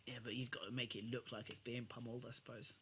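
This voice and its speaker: A man in casual podcast chat about a TV episode, co-hosting with an animated, sweary, interjecting manner. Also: mid-range voice, fast talker, slightly rough. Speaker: podcast co-host.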